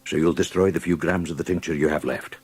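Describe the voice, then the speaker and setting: An actor playing a mocking dark inner voice with a Scottish accent, sardonic and taunting, husky and creaky, in a radio drama. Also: male voice, rumbling low, steady tone, fast. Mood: sly, goading. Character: mocking inner dark voice tempting an ageing doctor.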